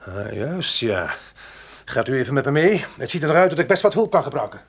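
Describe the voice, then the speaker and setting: Husky, pompous gentleman. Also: mid-range voice, very animated, fairly quick, soft background music. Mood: grandiose, brisk. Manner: pompous, theatrical, flowery. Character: tall, pompous, self-important Canadian man with English airs. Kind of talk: radio play acting.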